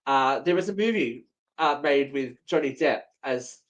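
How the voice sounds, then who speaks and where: Male co-host, middle-range voice, relaxed conversational style, rambling and enthusiastic. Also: mid-range voice, unhurried. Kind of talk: casual chat.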